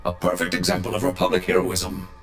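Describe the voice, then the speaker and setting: Formal, earnest combat droid. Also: male voice, sits low, monotone, quick pace, a bit bright, faint music behind. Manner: formal, elaborate phrasing. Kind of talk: video game cutscene acting.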